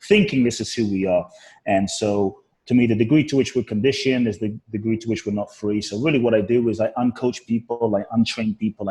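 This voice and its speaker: A man's voice: lowish voice, a little mellow, rough recording. A man from Ghana, raised in London, a guest author and coach telling his life story earnestly in a talk show interview.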